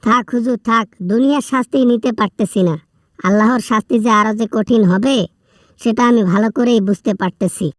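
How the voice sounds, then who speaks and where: A woman's voice: a little high, fairly even intonation, brisk, dry recording, studio quality, lightly acted. A woman with a Bangladeshi accent playing a whining male prisoner in a comic phone skit, pleading and repeating words in agitation. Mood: despairing. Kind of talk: comic prank-style phone call skit.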